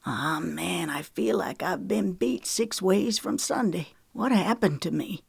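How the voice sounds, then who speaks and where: A woman voicing a remorseful, protective older man who grumbles gruffly, in an audiobook reading. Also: on the low side, animated, unhurried, dry recording, clean studio sound, heavily acted. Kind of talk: audiobook character voice.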